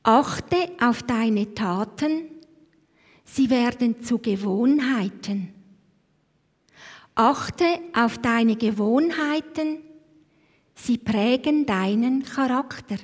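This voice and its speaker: A Swiss woman leading a church service, speaking reflectively and reading scripture in a slow voice that sinks to a hush at phrase ends. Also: fairly high pitch, slightly rough. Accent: Swiss German. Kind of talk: devotional talk and scripture reading. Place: church hall. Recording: long hall reverb.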